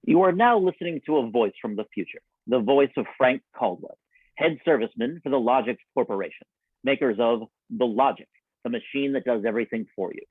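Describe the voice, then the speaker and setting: A man's voice: medium pitch, husky, dry recording. Dull-sounding, dry theatrical male radio announcer. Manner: theatrical, deliberate announcer delivery. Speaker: announcer and narrator. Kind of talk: scripted old-time radio announcing. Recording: dull, band-limited radio broadcast sound.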